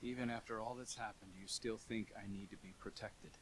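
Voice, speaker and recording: An actor playing a proud, wounded, devoted brother, speaking earnestly and with measured drama in a video game cutscene. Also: male voice, on the low side, steady tone, a bit bright, slight background noise, natural voice, poor audio.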